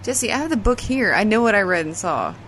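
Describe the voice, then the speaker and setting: A woman's voice: medium pitch, big pitch swings, fairly quick, a bit bright, a little hiss or hum. A woman playing an exasperated, dry-witted character in casual audio drama banter, sardonic and matter-of-fact.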